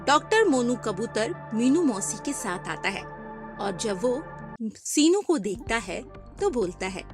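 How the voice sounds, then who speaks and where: A woman narrating a children's cartoon story in a clear, storytelling cadence. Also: a little high, big pitch swings, a little slow, over music.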